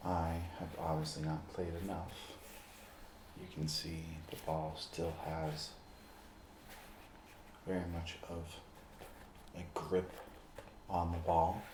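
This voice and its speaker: A man performing an ASMR roleplay as a friendly amateur basketball player, speaking in short, deliberate, halting phrases as he shows objects. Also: sits low, level tone. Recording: roomy echo, clear background noise.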